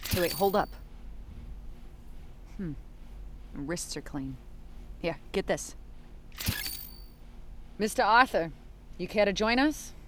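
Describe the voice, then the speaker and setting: Low-key, cool female detective. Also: animated, slow pace, pauses often, background noise. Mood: brisk, focused. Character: gritty female police detective, cool and guarded. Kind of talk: naturalistic audio drama acting.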